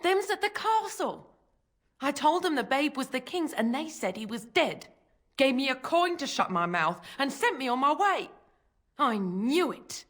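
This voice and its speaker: An actress playing a bitter, grasping, poor mother of five in a fantasy video game, scornful and sharp-tongued, with rough uneducated grammar. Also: sits high, sing-song, unhurried, full character voice.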